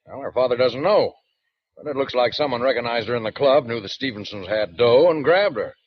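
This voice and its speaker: An actor playing a brisk, wry male police captain in a 1940s radio mystery, on a muffled old broadcast. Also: mid-range voice, a little hiss or hum, lightly acted. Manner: brisk and teasing.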